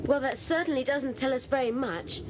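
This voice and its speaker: Keen, high-voiced schoolboy Jack played by a woman. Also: moderate pace, lightly acted. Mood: curious. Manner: keen, earnest. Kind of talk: 1980s children's audio drama acting. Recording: noisy old cassette transfer.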